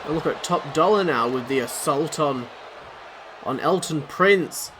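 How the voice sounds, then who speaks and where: Excitable man calling a match. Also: a little high, a little slow. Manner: excitable, rambling, self-deprecating. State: losing his voice. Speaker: YouTuber commentating a wrestling video game. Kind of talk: play-by-play commentary. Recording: noisy background.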